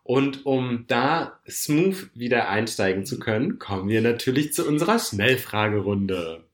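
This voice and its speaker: A man's voice: medium pitch, animated, unhurried, echoey room. A man co-hosting a casual chat podcast, talking chattily and mocking himself a little while recovering from a cold. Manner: chatty, self-mocking, mixes in English words. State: has a cold. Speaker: podcast co-host.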